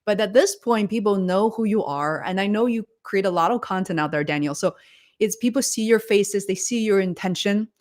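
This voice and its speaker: A woman's voice: mid-range voice, moderate pace, darkish tone. A woman hosting a livestream interview over a video call, talking in an enthusiastic, effusive conversational way. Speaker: livestream host.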